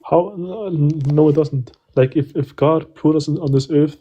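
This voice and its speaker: A man's voice: on the high side, measured pace, highs cut off. A young man in a live online debate who keeps questioning the hosts, stumbling and repeating himself. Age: young adult. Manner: hesitant, repeats himself, stumbles over words. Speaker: caller challenging the hosts.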